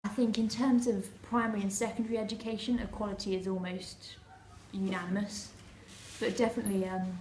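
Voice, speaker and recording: A woman from Kent, giving measured, articulate interview answers. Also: mid-range voice, level tone, darkish tone, slight background noise. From Kent, England. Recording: echoey room.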